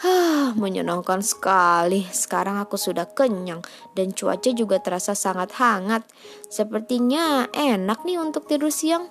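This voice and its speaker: A woman voicing a big, greedy male wolf in a storybook tale, sated and sluggish, with drawn-out, self-satisfied talk. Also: mid-range voice, lively intonation, soft background music, close to an everyday voice. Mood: contented, drowsy.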